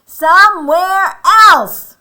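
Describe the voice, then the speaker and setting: A woman voicing an excitable, exasperated male elephant from a picture book, loud and indignant in disbelief. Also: on the high side, slow pace, pitched above the performer's own voice, heavily acted. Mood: exasperated, angry.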